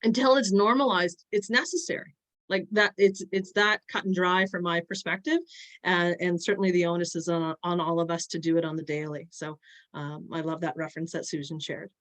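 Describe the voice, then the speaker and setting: A woman speaking as a panelist in an online discussion, earnest and halting, with frequent fillers and restarts. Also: fairly low pitch, fairly even intonation.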